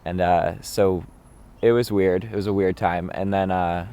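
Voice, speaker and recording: A young man from New York casually telling childhood stories to camera, animated and loose. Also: deep voice, a little slow, smooth. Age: young adult. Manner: loose, animated, acts out quotes in voices. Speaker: interviewee, young artist. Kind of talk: casual personal storytelling to camera.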